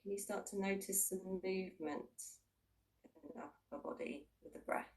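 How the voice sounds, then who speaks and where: A woman leading a guided body scan meditation, giving gentle instructions in unhurried, invitational phrases with long pauses. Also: mid-range voice, fairly even intonation, distant mic, low-quality recording.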